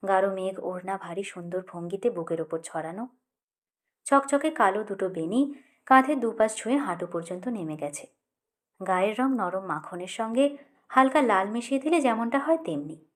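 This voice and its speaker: A woman's voice: medium pitch, lively intonation, normal speed. A woman narrating a literary short story expressively as an audiobook reader.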